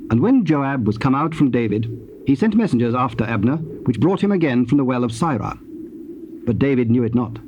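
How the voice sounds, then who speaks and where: Male narrator, medium pitch, measured formal Bible narration, faint noise of old cassette. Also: animated, darkish tone, lo-fi sound. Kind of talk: scripted scripture narration. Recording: noisy old cassette tape transfer.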